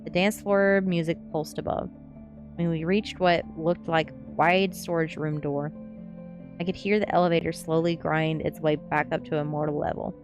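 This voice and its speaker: A woman's voice: sits low, flat delivery, moderate pace, over music. A woman reading a novel aloud as narration on an ASMR stream, with the occasional slip.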